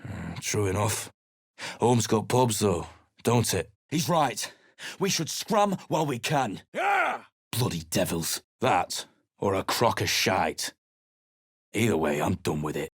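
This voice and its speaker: A weary, foul-mouthed mercenary for a video game, speaking gruffly and bluntly. His voice sits low, with a sarcastic edge to every remark. The sound is dry and close, with no room around it. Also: wildly swooping pitch, a little slow, no room sound, full character voice. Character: surly male mercenary adventurer, blunt and weary. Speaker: game character.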